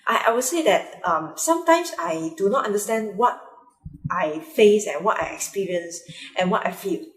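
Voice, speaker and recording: A woman's voice: mid-range voice, animated, moderate pace. A young Malaysian woman with a Malaysian accent, Mandarin her first language, talking hesitantly in a personal vlog with many fillers and restarts. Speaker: vlogger. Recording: echoey room.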